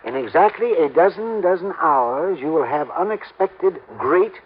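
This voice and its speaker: A man's voice: on the high side, animated, normal speed, a little hiss or hum, lightly acted. An actor playing an erratic, wealthy old man in an old-time radio drama, fretful and stubborn, muttering, exclaiming and repeating himself. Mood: anxious, insistent. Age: older. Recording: muffled vintage radio recording.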